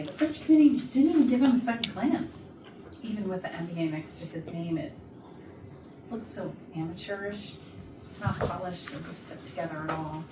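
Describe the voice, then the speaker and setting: A woman's voice: mid-range voice, close to an everyday voice. A woman playing a scornful, skeptical business colleague, disdainful and incredulous, in a staged mystery comedy. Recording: echoey distant mic, clear background noise.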